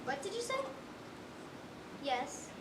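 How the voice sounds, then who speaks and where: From across an echoey stage comes a woman playing a very high-pitched little girl. She is earnest and imaginative, with quaint, childlike phrasing, in a school play. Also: big pitch swings, slow pace, heavily acted. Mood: dreamy, confiding. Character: earnest, imaginative little orphan girl who talks to trees. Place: stage in a large room, distant microphone. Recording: noisy, echoey stage recording from far off.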